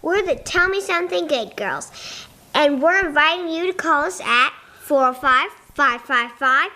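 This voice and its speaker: A woman's voice: high-pitched, lively intonation, unhurried, pushed and bright, exaggerated voice. A female performer playing an earnest young girl co-hosting a kids' podcast in a radio play. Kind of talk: scripted radio play acting.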